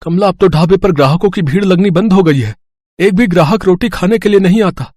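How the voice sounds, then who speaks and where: A man's voice: high voice, fairly quick, darkish tone, dry recording. Guy doing worried poor dhaba owner, tired and humble, dry sound. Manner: worried, weary. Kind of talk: storybook voice acting.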